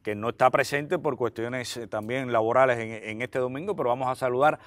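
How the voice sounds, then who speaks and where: A man's voice: mid-range voice, fairly quick, dry recording. A middle-aged Cuban man presenting and interviewing on a television sports programme.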